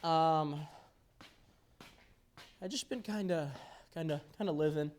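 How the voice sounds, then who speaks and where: A man's voice: a little high, slow, darkish tone, slight background noise. Jokey, rambling young man. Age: early twenties. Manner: jokey, self-deprecating, rambling with playful exaggeration. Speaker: host giving a workshop tour. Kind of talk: casual off-the-cuff walking tour chat. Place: indoor workshop.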